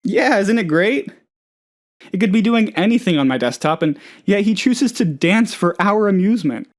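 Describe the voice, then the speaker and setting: An oddball male office worker for a comedic game. His voice sits on the high side and leaps around with animated intonation. He is excitable and scatterbrained, rambling with total earnestness about absurd things. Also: moderate pace. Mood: cheerful, eager. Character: eccentric, eager, scatterbrained male office colleague, cheerfully odd. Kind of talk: comedic video game character dialogue.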